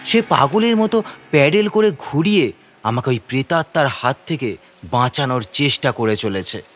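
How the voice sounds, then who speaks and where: A man's voice: medium pitch, expressive, moderate pace, a little hiss or hum. A man narrating a first-person horror story in a suspenseful, theatrical manner.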